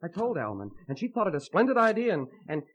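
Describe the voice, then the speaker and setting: A man's voice: high-pitched, sing-song, a bit bright, exaggerated voice. Lovesick young man, dramatically swooping voice. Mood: brooding, earnest. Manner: poetic, halting, fervent. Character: Edwin, lovesick, bitter young man, grandiose and earnest. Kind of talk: melodramatic old-time radio acting. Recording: muffled vintage radio recording.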